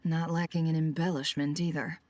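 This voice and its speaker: Low-voiced, shrewd sardonic lady boss. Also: level tone, normal speed, a little mellow. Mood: composed, dry. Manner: composed, sly, sardonic. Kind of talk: video game voice acting.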